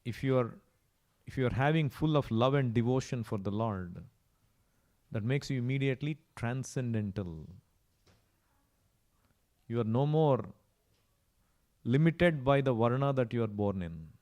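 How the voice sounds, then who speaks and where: A man's voice: mid-range voice, lively intonation, measured pace, pauses often, dark tone. Indian male preacher, emphatic storyteller. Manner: emphatic, repetitive, rhetorical questions. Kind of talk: sermon with storytelling.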